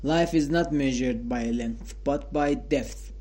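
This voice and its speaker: A man in his twenties hosting a solo talk about a quote, speaking in a halting, repetitive way with many fillers and restarts. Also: mid-range voice, darkish tone. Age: 20s. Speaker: host of a quote podcast. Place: sitting at home in front of a mirror.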